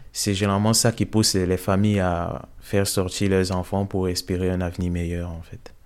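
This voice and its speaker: Soft-spoken young man from Cameroon. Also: low voice, little pitch movement, moderate pace, smooth. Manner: hesitant, soft-spoken, many fillers. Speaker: interviewee. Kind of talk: personal testimony interview.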